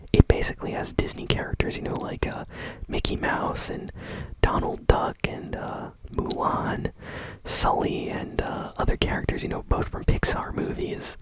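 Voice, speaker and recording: A woman hosting ASMR gaming news in a soft whisper, speaking casually and hesitantly, as if unscripted. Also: low-quality recording.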